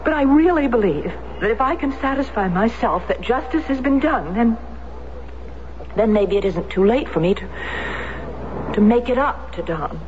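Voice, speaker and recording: A woman's voice: a little high, lively intonation, moderate pace, lightly acted. An actress playing a determined grieving widow in her thirties who speaks firmly and earnestly, in 1970s radio drama style. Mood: wistful. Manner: firm, pressing, earnest. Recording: muffled lo-fi radio broadcast with faint hiss.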